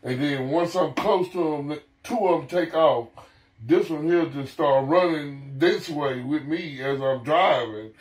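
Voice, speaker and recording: A man's voice: on the high side, unhurried. A man in his fifties telling a story casually and animatedly, swearing freely, repeating himself and acting out his reactions. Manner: profane, repetitive, acts out reactions. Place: living room couch, indoors. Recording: roomy, distant from the mic.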